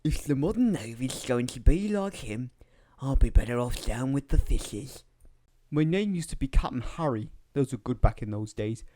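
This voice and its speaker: A man's voice: mid-range voice, lively intonation, normal speed, mellow, natural voice. A man voicing a gloomy, weary old boat that is down on its luck. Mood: mournful.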